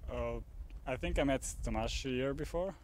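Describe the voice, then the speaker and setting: A man from Slovenia, an artist, talking in a relaxed, thoughtful way in English. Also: medium pitch, moderate pace, a little hiss or hum, rough recording. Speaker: artist, guest.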